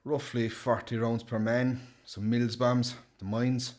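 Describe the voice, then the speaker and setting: An actor with an Irish accent playing a polite, understated rebel officer in a radio play. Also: male voice, mid-range voice, flat delivery, takes it slowly, darkish tone, natural voice. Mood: sober.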